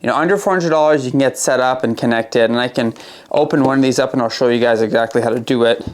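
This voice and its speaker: A man's voice: medium pitch, fast. A man from Canada giving a casual product review as a working crew boss, conversational, repeating phrases for emphasis. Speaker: arborist crew boss and video presenter.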